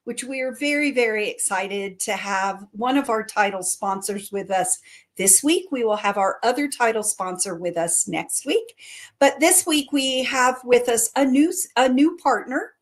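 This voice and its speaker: Brief: middle-aged woman, community livestream host, enthusiastic promo delivery, higher-pitched voice. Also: unhurried. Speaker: chamber of commerce director hosting a live show.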